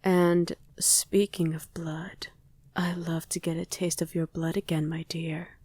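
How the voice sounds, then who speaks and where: A woman playing a tender, doting, teasing vampire wife in an intimate ASMR roleplay, speaking softly and breathily, often in a whisper. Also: lowish voice, flat delivery, unhurried, breathy edge. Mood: playful, seductive.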